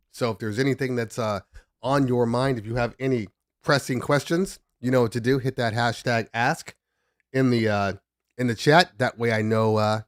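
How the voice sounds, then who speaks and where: A man's voice: medium pitch, dry recording, clean studio sound. Congested man chatting on a livestream. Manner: rambling, self-interrupting, joking. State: congested from just waking up. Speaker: DJ livestream host. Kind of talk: casual livestream chat. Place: home studio at his desk.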